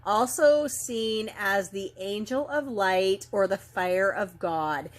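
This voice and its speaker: A woman with a slight head cold and a raw, gravelly throat, giving a soft, unhurried daily oracle card reading full of ums and trailing phrases. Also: medium pitch. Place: indoor filming setup lit by ring lights.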